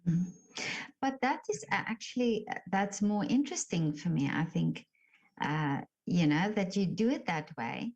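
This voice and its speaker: A woman's voice: fairly low pitch, expressive, a little slow, vocal fry, a little mellow, muffled recording. A woman interviewing a guest over a video call, effusive and complimentary, with many fillers.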